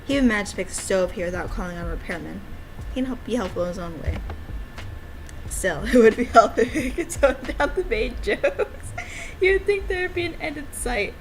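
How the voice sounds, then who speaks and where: A woman's voice: a little high, expressive, brisk, slight background noise, rough recording. A woman giving casual livestream commentary on her own game, with an enthusiastic, giggly, rambling manner. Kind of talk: casual livestream chat mixed with reading game dialogue aloud.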